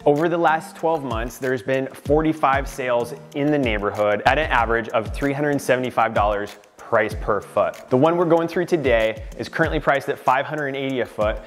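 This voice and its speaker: A man's voice: medium pitch, moderate pace, hardly any pauses, soft background music. A man in his thirties, a real estate agent narrating a walkthrough of a house in an upbeat, informative way. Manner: upbeat, informative salesman patter. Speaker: real estate agent giving a home tour. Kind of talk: walkthrough home tour narration. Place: inside a renovated house.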